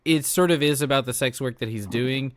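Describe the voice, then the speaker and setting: A man's voice: mid-range voice, fairly quick, no room sound. A man co-hosting a podcast, talking candidly and informally in casual discussion. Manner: candid, informal, freely sweary. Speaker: podcast co-host.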